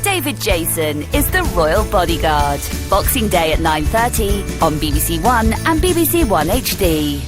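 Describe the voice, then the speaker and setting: Female trailer announcer, lower-pitched, lively delivery, loud music bed. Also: moderate pace, hardly any pauses. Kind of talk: scripted broadcast trailer narration. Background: jingly music.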